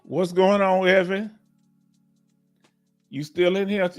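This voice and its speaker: Folksy man in his fifties, higher voice. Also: fairly even intonation, slow pace, muffled recording, faint music behind. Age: 50s. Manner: folksy, repeats himself, coaxes the audience to join. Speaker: livestream host and veteran claims advisor. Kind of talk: casual live Q&A talk.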